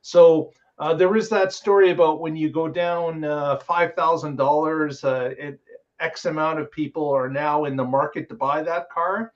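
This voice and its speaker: Man, podcast host, slightly high voice, rambling with fillers, dull lo-fi sound. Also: steady tone, moderate pace. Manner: rambling, many filler words. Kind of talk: casual podcast news talk.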